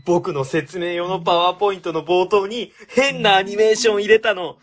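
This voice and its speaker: A man's voice: high voice, steady tone, a little fast. A man playing an earnest, exasperated young civil servant in a radio comedy drama, speaking politely. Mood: exasperated, pleading.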